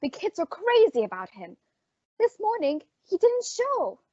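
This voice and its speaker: A woman's voice: extremely high pitch, huge pitch swings, a little slow, a little mellow, no echo, exaggerated voice. A woman playing an earnest, frazzled department store advertising executive in an old-time radio drama. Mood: exasperated, pleading. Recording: dry, dull lo-fi audio.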